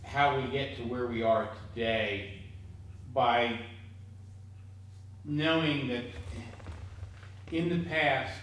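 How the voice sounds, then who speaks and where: A man in the audience making a slow, hesitant comment. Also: mid-range voice, a bit bright, a little hiss or hum. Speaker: audience member. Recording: very echoey hall from far off.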